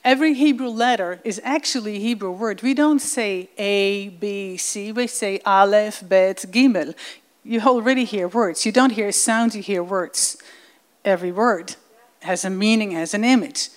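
A woman's voice: medium pitch, moderate pace. A woman from the Netherlands, giving an earnest, enthusiastic presentation with slides to a congregation. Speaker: guest presenter.

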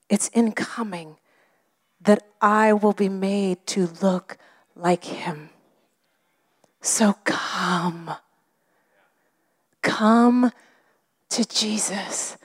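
A woman preaching a sermon with an expressive delivery that often drops to a whisper. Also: medium pitch.

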